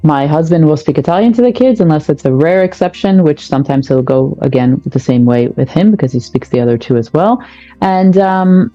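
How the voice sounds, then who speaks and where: A woman's voice: low-pitched, expressive, brisk, mellow, dull audio, soft background music. A woman, a podcast guest, explaining family life in a reflective, thoughtful way. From United States. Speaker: guest, parenting coach. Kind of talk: podcast conversation.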